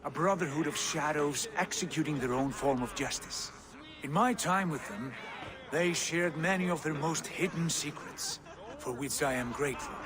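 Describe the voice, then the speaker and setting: A man's voice: fairly high pitch, normal speed, lightly acted. An actor playing a proud, worldly Viking warrior back from his travels, talking like a storyteller in a video game cutscene. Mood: proud, fond.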